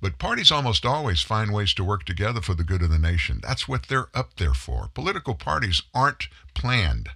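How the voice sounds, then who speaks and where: A man's voice: lowish voice, big pitch swings, fairly quick, gravelly, few pauses, sharp tone, husky, no room sound, clean studio sound. A middle-aged man hosting a political commentary show, telling a story with emphatic delivery. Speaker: host of a commentary news show. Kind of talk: scripted political commentary monologue.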